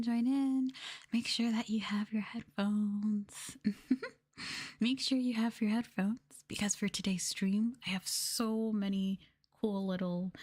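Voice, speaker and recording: Female ASMR streamer: hushed, gentle chat that drifts between soft voice and whisper, close-miked. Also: fairly high pitch. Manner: gentle hushed delivery, often slipping into whisper. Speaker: streamer host of an ASMR livestream. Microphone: close microphone for headphone listening.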